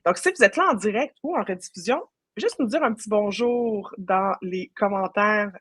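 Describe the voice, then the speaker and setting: A woman's voice: medium pitch, lively intonation, normal speed, fairly bright tone, husky, no echo. Quebec woman doing a casual live online session, chatty, throws in english words, dry room sound. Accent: Quebec French. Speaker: web marketing professional. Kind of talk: casual live webinar chat.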